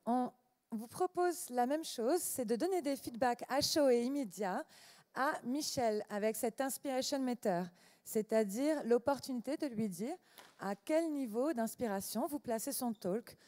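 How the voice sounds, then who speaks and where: A woman with a higher, mellow voice co-hosts a conference session and briskly manages the crowd between talks. Her voice carries a long reverb from the hall. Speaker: event co-host.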